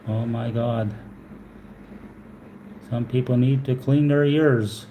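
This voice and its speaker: A man's voice: mid-range voice, steady tone, slow pace, highs cut off, a little hiss or hum. A man from Alberta with a Canadian accent, chatting casually on a live stream, answering viewers and repeating his words as he rambles.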